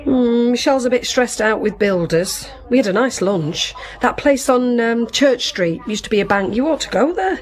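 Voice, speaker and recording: An actress playing a concerned, busy married sister in a radio drama, chatty and coaxing. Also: female voice, fairly high pitch, expressive, moderate pace, hardly any pauses, natural voice, poor audio. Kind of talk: naturalistic radio drama acting.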